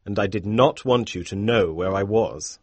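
A man reading a story aloud, voicing a calm, clever detective in a measured, knowing way. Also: on the low side, husky, no room sound.

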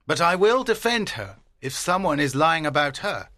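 A man's voice: a little high, big pitch swings, normal speed, pushed and bright, no room sound, clean studio sound. An actor playing a proud, anguished old father in a dramatised audiobook, speaking formally and emphatically.

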